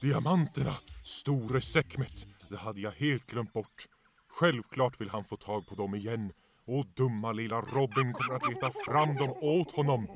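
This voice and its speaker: A man's voice: medium pitch, noisy background, natural voice. A man voicing a stern lion king and strict father in a cartoon fan dub, authoritative and scolding. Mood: alarmed, scolding.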